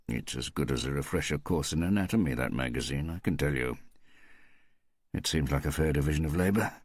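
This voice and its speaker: A man plays a wry, mischievous woman pathologist in a crime audiobook. The voice sits low, and her teasing, sardonic lines are recorded dry and close. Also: fairly quick, no room sound.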